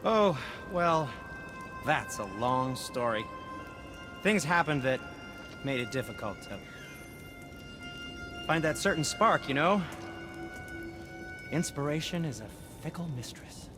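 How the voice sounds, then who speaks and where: A man's voice: fairly high pitch, animated, slow, long pauses, over music. A man playing a fussy, evasive, wistful dwarf craftsman in a video game, prim and hesitant in manner. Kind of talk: video game character acting.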